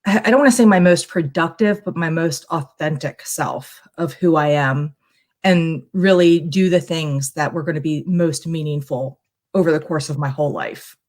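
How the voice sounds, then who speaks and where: Middle-aged woman, low-pitched, casual guest chat, reflective, long-winded. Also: moderate pace, a little mellow. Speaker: guest tarot reader bringing a question. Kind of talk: casual live stream chat.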